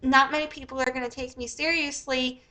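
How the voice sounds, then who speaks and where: A young woman with a squeaky voice who talks in a rambling, profane, casual livestream style. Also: flat delivery, brisk, sharp tone. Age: young adult. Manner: rambling, profane, many fillers. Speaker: live streamer.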